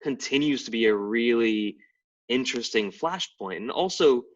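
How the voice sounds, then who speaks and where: A man's voice: medium pitch, expressive, moderate pace. Man explaining from afar, lo-fi. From Tidewater, Virginia. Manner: thoughtful, many fillers and restarts, explains at length. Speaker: guest, game writer and anthropologist. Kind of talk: casual explanatory interview talk. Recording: distant, dull lo-fi live stream audio.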